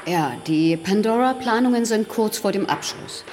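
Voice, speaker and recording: An actress playing a cautious, businesslike government official giving a formal report in a radio play. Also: female voice, medium pitch, expressive, normal speed, music in the background, lightly acted. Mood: businesslike, concerned. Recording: reverberant hall with faint noise.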